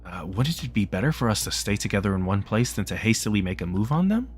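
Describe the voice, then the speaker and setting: A man's voice: lowish voice, big pitch swings, quick pace, soft background music. A man voicing a timid, pitiable man who hesitantly pleads for caution, in a visual novel read-aloud. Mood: pleading.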